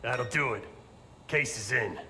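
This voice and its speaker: A man acting a gruff handler coordinating a covert team in a video game. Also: mid-range voice, measured pace, pushed and bright, in a large hall, slight background noise. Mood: focused.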